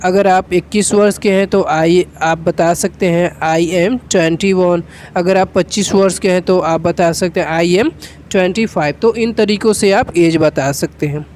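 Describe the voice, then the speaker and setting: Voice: mid-range voice, steady tone, a little slow, darkish tone, a little hiss or hum. A teacher with an Indian accent, giving an instructional lesson step by step in a methodical, repetitive way. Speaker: English teacher.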